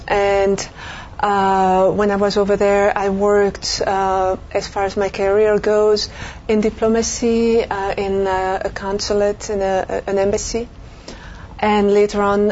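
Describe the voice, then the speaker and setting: Woman, lots of uhs, kinda muffled recording, faint hiss. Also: medium pitch. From Belgium. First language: French. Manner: hesitant, many "uh" fillers, soft trailing off. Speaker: interview guest. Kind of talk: recorded interview.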